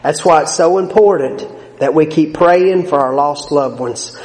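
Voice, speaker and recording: High-voiced preacher in an echoing church. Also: male voice, measured pace, darkish tone. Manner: emphatic preaching that swells and drops in volume; folksy, plain-spoken asides. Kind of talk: sermon. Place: church sanctuary. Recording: echoey hall with long reverb.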